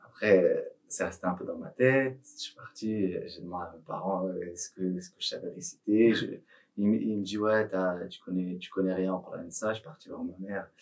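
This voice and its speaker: Low-voiced boy speaking haltingly. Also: a little mellow. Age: young teen. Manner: hesitant, halting, many restarts. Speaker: guest. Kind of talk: casual podcast interview. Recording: voice from a distance.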